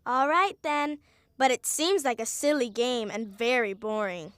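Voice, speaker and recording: A woman's voice: on the high side, a little slow, fairly bright tone, clear, resonant tone. A woman voicing a stubborn, aloof young female character in a kids' cartoon, matter-of-fact and dismissive. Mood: stubborn, grudging. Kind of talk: animated kids' cartoon dialogue.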